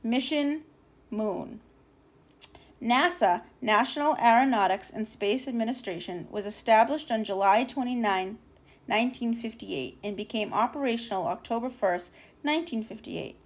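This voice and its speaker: High-voiced woman reading a storybook aloud. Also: normal speed. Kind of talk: expressive children's storybook read-aloud.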